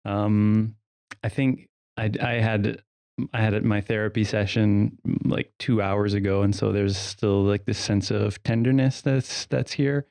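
A man, a podcast guest, speaking softly and hesitantly, with many fillers. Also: on the low side, fairly even intonation, a little slow, vocal fry, no echo, studio quality. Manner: soft and hesitant, full of fillers. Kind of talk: casual group podcast chat.

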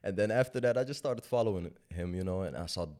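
Deep-voiced man, laid-back and rambling. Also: fast talker. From Sudan. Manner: relaxed, rambling, laughs often, many fillers. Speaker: guest musician. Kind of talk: casual interview talk.

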